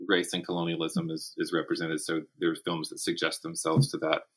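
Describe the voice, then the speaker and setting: An American man in his fifties answering interview questions conversationally, thinking aloud in a candid, animated way. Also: very deep voice, fairly quick, husky. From South Carolina, United States. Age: 50s. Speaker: interview guest, horror writer and teacher.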